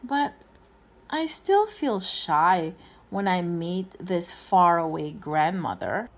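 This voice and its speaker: A woman reading a children's picture book aloud in an expressive way, phrasing deliberately and acting out the dialogue. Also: lowish voice. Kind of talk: expressive storybook read-aloud.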